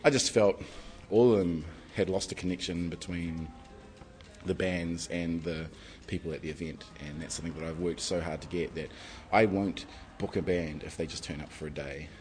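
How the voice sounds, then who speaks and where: A man's voice: deep voice, fairly even intonation, normal speed, darkish tone. A man organising a music festival, talking in a rambling, enthusiastic way with lots of fillers. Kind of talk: casual interview.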